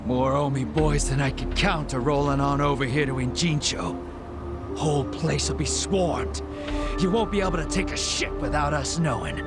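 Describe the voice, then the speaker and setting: An actor playing a smug, menacing gangster bodyguard in a video game, taunting and slangy with a swagger. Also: male voice, a little high, normal speed, over loud music, close to an everyday voice. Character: sneering, smug yakuza thug, menacing bodyguard.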